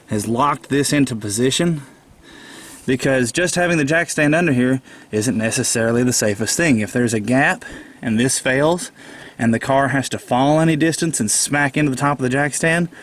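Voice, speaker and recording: A man with a slight head cold, explaining a car repair step by step in a plainspoken, patient way with frequent fillers. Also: mid-range voice. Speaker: DIY car repair presenter. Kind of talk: casual how-to walkthrough.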